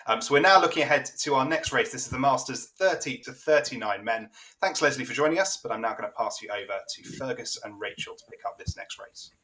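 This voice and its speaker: A man's voice: mid-range voice, animated, fast, bright, forceful tone, poor audio. A man interviewing an athlete after a race, upbeat and encouraging.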